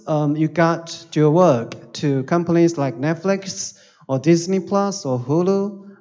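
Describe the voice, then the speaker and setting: Halting man hosting an online forum. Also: fairly high pitch, darkish tone, muffled recording. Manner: halting, with many fillers. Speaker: forum host. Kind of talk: introducing a speaker at an online forum.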